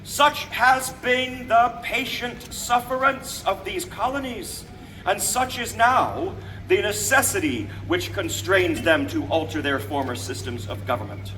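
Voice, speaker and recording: Guy acting as a founding father orator, bright sharp voice, grand formal declaiming outside, noisy distant recording. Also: high voice, expressive, heavily acted. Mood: grave, accusing. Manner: declamatory, deliberate phrasing, formal. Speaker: historical reenactor. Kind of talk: theatrical public proclamation. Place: outdoors, speaking from a balcony to a gathering. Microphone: distant camera microphone. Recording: distant outdoor recording with background noise.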